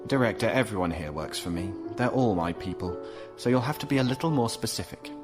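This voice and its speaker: An actor playing a smug, urbane arms merchant in a sci-fi audio drama, condescending and teasing. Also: male voice, fairly low pitch, expressive, a little fast, over music, close to an everyday voice. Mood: smug calm. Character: smooth-talking, smugly amused male arms merchant.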